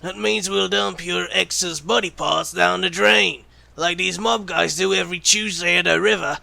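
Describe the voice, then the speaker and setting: A man playing a gruff, bloodthirsty veteran secret agent in an audio roleplay, menacing, sardonic and condescending as the bad cop. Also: sits high, steady tone, moderate pace, sharp tone, no echo, full character voice. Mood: sardonic menace. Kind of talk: ASMR roleplay audio drama.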